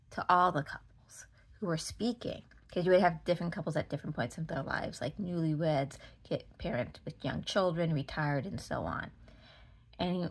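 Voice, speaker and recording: A woman in her forties speaks in a fairly low voice with a Boston accent. She rants casually to camera, frustrated and emphatic, repeating phrases to drive them home. It sounds like a phone held at arm's length indoors. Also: breathy edge. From Massachusetts, United States. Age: 40s. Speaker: vlogger. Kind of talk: casual opinion rant to camera.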